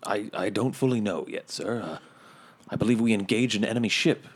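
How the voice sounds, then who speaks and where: A calm ship's doctor answers in a low, husky voice, played by a man at the table. He keeps things matter-of-fact. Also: fairly quick. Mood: steady.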